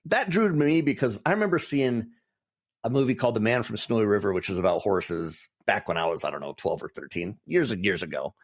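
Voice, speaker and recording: A man's voice: lowish voice, animated, fairly quick. A man hosting a podcast, chatty and enthusiastic as he interviews his guest. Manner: enthusiastic, rambling, warmly encouraging. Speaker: podcast host. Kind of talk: casual interview.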